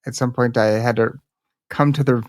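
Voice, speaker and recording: A man's voice: medium pitch, steady tone, moderate pace, no room sound. A man from the Chicago area hosting a podcast, talking in a casual way with frequent fillers and putting questions to his guest. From Chicago area, United States. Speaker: podcast host. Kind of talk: podcast interview.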